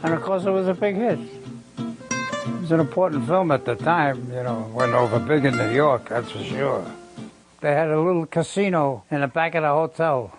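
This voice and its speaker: A man's voice: on the high side, moderate pace, low-quality recording. An older man being interviewed, telling anecdotes in a plain-spoken, salty, conversational way. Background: guitar music.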